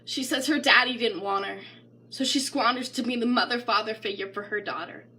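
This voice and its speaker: A woman's voice: fairly high pitch, fairly quick. A woman giving a dramatic, emotionally intense spoken-word poetry reading. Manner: intense, emotional, theatrical, swinging between hushed and crying out. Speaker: poetry reader.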